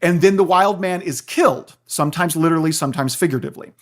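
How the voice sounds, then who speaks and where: A man explains ideas in a conversational podcast style. His delivery is animated and story-driven, and he keeps checking that the listener follows. He speaks as a knowledgeable guest expert. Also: a little high, fast talker. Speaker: guest, philosophy professor and author.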